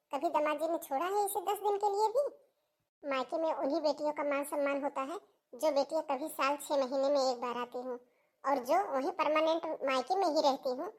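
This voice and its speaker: A woman voicing a bossy, taunting older mother-in-law in a cartoon family drama, with a sharp, nagging manner. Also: sits high, lightly acted. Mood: haughty.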